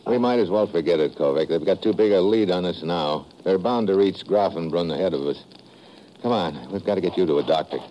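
An actor playing a tough, wry American secret agent in a 1950s radio drama, terse and wisecracking. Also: male voice, deep voice, a little fast, mellow, close to an everyday voice. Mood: brisk. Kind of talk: 1950s radio drama acting with first-person narration. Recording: noisy old radio drama recording.

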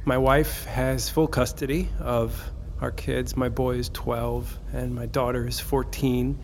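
An actor playing a downcast divorced father in an audio drama, sharing in a halting, subdued way. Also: medium pitch, level tone, a little mellow, slight background noise, close to an everyday voice.

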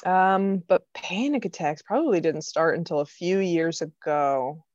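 A woman's voice: on the low side, measured pace, a little mellow, dry recording. An American woman from Vermont, a comedian guesting on a podcast, chatting casually in a wry, informal way. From Vermont, United States. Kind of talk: casual chat. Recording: slightly dull, lo-fi microphone sound.

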